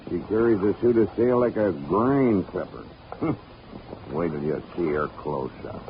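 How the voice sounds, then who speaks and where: An actor playing a seasoned old sea captain in 1940s radio style, gruff and familiar, full of nautical slang. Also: male voice, low-pitched, animated, a little slow. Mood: salty, familiar. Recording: dull, noisy 1940s radio recording.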